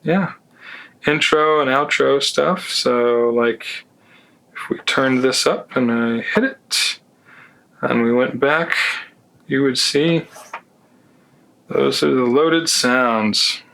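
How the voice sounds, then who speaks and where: Wry man reviewing gear, gravelly voice. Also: mid-range voice, slow, pauses often, pushed and bright, rough recording. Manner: wry, sarcastic asides. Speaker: product reviewer. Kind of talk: casual product walkthrough with dry jokes.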